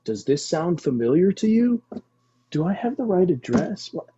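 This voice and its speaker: A man playing a naive, nervous young drug courier in a comedy table read, halting, earnest and over-polite. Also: high-pitched, sing-song, dark tone, muffled recording, slight background noise.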